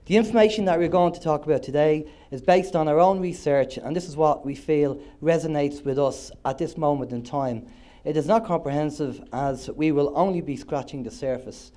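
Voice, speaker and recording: An Irish man with a cold gives an upbeat, joking stage talk, his voice a bit higher than most men's and nasal. His tone is soft and mellow rather than bright. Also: normal speed. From Ireland. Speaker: co-host of an internet radio show, conference speaker. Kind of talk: presenting on stage to a live audience. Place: conference hall on stage.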